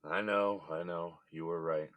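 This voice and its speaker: A man's voice: deep voice, steady tone, measured pace, a bit bright, no echo. An actor playing a weary, blunt middle-aged businessman held in jail, in a naturalistic audio drama. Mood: bitter, blunt. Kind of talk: naturalistic scripted drama dialogue.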